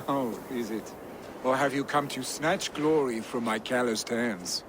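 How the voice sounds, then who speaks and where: An actor playing a bold, boastful Viking warrior in a video game, hearty and teasing in a declamatory way. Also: male voice, mid-range voice, a little slow, noisy background, lightly acted. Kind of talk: dramatic video game acting.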